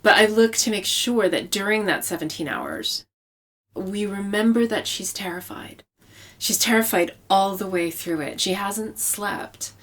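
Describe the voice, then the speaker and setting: A woman's voice: medium pitch, animated, measured pace, a bit bright. A woman speaking as a novelist in an interview, explaining her craft conversationally and repeating phrases for emphasis. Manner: explanatory, with emphatic repetition for effect.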